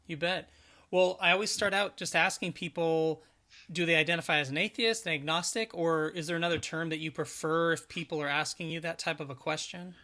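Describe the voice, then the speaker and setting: Male host, thirties, slightly high voice, casual spoken intros and questions for an interview podcast. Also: steady tone, brisk, bright, forceful tone. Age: 30s. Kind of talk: conversational interview hosting.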